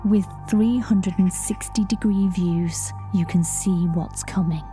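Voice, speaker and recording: Actress as haunted shapeshifting folk singer lady, slow n mellow, audio drama monologue, lyrical and intense. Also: lowish voice, steady tone, soft background music. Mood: hushed, tense. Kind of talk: audio drama monologue, first-person narration.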